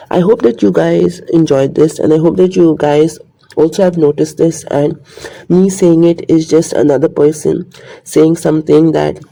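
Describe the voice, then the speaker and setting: A man's voice: a little high, vocal fry, warm tone, rough recording. A man talking in a rambling, earnest monologue to his viewers, repeating his points. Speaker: vlogger. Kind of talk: casual monologue to camera.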